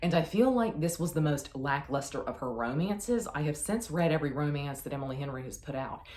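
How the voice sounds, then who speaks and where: A woman's voice: very deep voice, a little mellow. A woman giving a casual, emphatic book chat as a video host, with opinionated, self-questioning asides. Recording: echoey, roomy sound.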